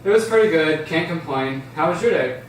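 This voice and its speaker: A shy, nervous teenage boy who longs for a friend , read by a man at an amateur table read. The voice is slightly high. It comes from some distance in a very echoey room. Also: expressive, a little fast, natural voice. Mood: casual, friendly. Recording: echoey room, distant mic, faint noise.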